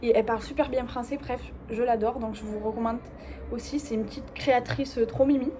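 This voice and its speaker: A young woman with an accent from France, vlogging in an informal, slangy, expressive way, confiding casually in her viewers. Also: fairly high pitch, a little fast, background noise. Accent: French from France. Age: young adult.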